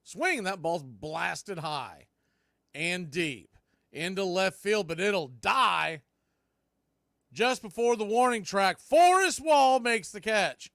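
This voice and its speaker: A man's voice: high voice, expressive, takes it slowly, creaky, fairly bright tone, dry recording, studio quality. A man announcing baseball play-by-play in clipped, folksy phrases. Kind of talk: live play-by-play commentary.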